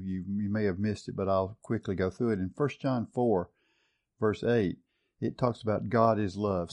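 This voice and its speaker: A man's voice: fairly low pitch, warm tone. A man teaching a Bible study session. He talks in an earnest, reflective way, reads and compares scripture translations, and often repeats words while he finds the phrase.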